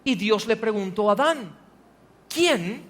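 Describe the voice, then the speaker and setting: A man's voice: sits high, lively intonation, slow, fairly bright tone. A male pastor preaching a sermon in a church, pressing the congregation with rhetorical questions and rising to emphatic passages. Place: church hall. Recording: long hall reverb.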